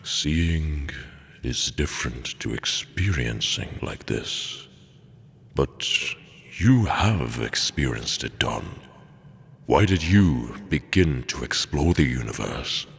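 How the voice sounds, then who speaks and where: An actor playing a mysterious, all-knowing mentor entity in an audio drama, speaking in a solemn, measured, philosophical way with reverb on the voice. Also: male voice, rumbling low, animated, vocal fry, slightly rough, full character voice. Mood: grave, reproving. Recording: cavernous long reverb, faint music behind.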